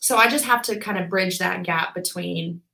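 Woman, 20s, podcast guest; bright tone, talkative, filler-heavy explainer. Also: lowish voice, normal speed, creaky. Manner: chatty, explains with lots of "like" and "um". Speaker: podcast guest, physical therapist. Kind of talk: casual interview chat.

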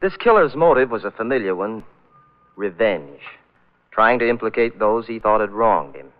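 A man's voice: fairly low pitch, expressive, normal speed, close to an everyday voice. An actor playing a chatty condemned convict in a 1940s radio drama, telling his story in a folksy, musing way. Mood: wry, reflective. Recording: tinny, narrow-band old radio recording.

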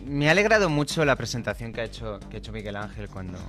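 A man's voice: lowish voice, big pitch swings, quick pace, fairly bright tone, soft background music. Man from Spain explaining patiently. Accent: Spanish (Spain). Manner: patient, checks understanding with "¿de acuerdo?". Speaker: guest, communications director. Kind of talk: explanatory interview answers. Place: studio table.

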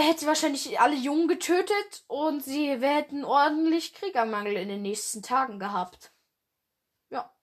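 Young woman, fairly high voice, stuffy nose, rambling fan podcast chat, lots of fillers and slang, close mic at home. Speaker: podcast host. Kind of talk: casual fan podcast monologue.

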